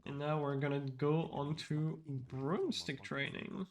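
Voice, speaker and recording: Casual: guy talking thru his speedrun live, kinda higher voice, loose and rambly. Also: fairly even intonation, a little slow. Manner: casual, explanatory, frequent fillers and restarts.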